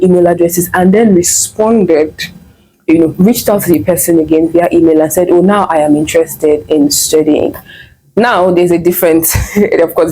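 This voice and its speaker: A woman's voice: fairly low pitch, poor audio. Chatty Nigerian woman telling a story. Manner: chatty, animated retelling with quoted dialogue and laughter. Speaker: vlogger and online MBA student. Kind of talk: casual storytelling to camera.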